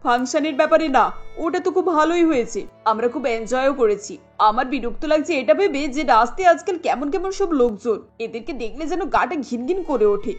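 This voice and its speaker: A woman's voice: high voice, expressive, moderate pace. A woman playing a haughty, imperious middle-aged rich mother in a cartoon, scolding with snobbish indignation. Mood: disgusted, indignant. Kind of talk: cartoon dialogue acting. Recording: light music under the voice.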